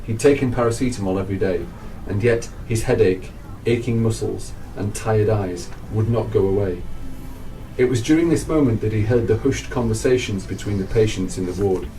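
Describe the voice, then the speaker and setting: Lowish male drama narrator, distant mic. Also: normal speed, dark tone, slight background noise. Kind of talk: scripted radio drama narration. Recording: distant microphone under clear music.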